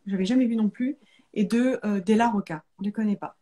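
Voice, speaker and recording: A woman's voice: medium pitch, fast talker, mellow. A woman hosting a casual live chat, talking informally and repeating words for emphasis. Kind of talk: casual conversational interview.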